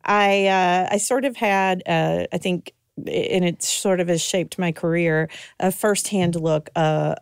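Kentucky-accented middle-aged woman, gravelly low voice. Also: takes it slowly, dry recording, studio quality. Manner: relaxed storytelling with frequent fillers and laughs. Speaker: guest, nonprofit president and CEO. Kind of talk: casual interview conversation.

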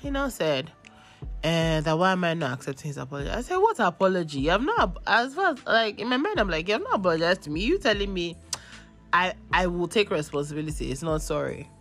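A young Nigerian woman with a cold, losing her voice, hosting a podcast and telling a story in a chatty, animated way. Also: fairly low pitch, quick pace, soft background music. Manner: chatty, animated, acts out texts in quotes. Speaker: podcast host. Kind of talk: casual storytelling.

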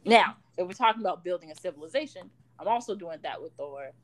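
Casting: twenties woman, podcast co-host, bubbly, laughs often, lively sing-song pitch. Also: fast. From Chicago, Illinois. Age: 20s. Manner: bubbly, laughs a lot, animated swings in pitch and volume. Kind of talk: casual chat.

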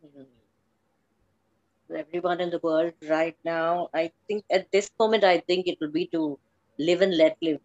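Lower-pitched woman chatting, slightly dull audio. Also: fairly even intonation, normal speed, a little mellow, no room sound. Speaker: podcast guest. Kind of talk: casual chat.

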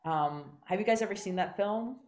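A woman guest playwright talking in a casual conversation, animated and rambling, laughing often. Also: mid-range voice, normal speed. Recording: roomy echoey sound.

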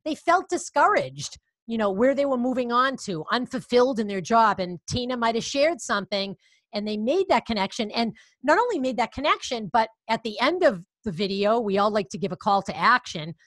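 A woman's voice: on the high side, sing-song, a little fast, a bit bright, dry recording. A woman podcast host with a Boston accent, upbeat and chatty, encouraging her guest in a casual interview.

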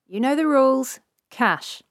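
Man putting on a high-pitched, brash half-elf woman. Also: animated, a little slow, fairly bright tone, no echo, studio quality, full character voice. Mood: wry. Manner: sardonic, blunt, colloquial. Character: brash, streetwise, blunt half-elf informant presenting as female. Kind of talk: audiobook character dialogue.

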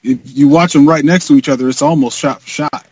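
A man's voice: a little high, fairly even intonation, quick pace, a little mellow, poor audio. A man chatting casually and wryly as a panelist in a group podcast. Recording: faint background noise, clicks.

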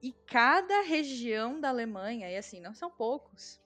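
Brief: Brazilian woman, casual chatty guest, slightly high voice, faint music behind. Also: sing-song, normal speed, fairly bright tone. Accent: Brazilian Portuguese. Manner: chatty, explaining with many fillers. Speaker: guest, Brazilian living in Germany. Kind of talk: casual conversation.

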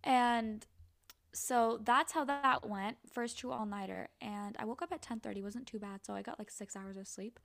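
A woman's voice: a little high, fairly even intonation, moderate pace, gravelly, fairly bright tone. A young woman student co-hosting a podcast, whose first language was Urdu, rambling casually and restarting her sentences. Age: young adult. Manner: rambling, restarts often, laughs easily. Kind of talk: casual chat.

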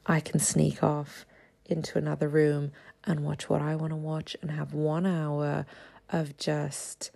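A woman in her thirties hosting a casual solo podcast, a little raspy from just waking up, chatty with frequent ums and small laughs. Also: low-pitched, flat delivery, measured pace, dark tone. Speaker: podcast host.

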